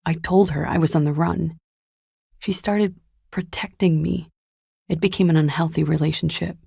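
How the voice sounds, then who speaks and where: Low, husky, weary young fugitive woman. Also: dark tone, no echo, studio quality, heavily acted. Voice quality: low, breathy, husky and gravelly. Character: weary, guarded young woman on the run, evasive and melancholic. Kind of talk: video game character dialogue, intimate and understated.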